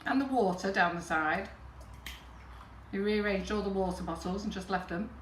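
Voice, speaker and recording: A woman's voice: medium pitch, normal speed, recorded from a distance. Chatty woman in an echoey kitchen. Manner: chatty, rambling, jokey, laughs often. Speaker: baking demonstrator, host. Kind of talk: chatty live baking demonstration. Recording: echoey kitchen, voice from off the mic, background noise.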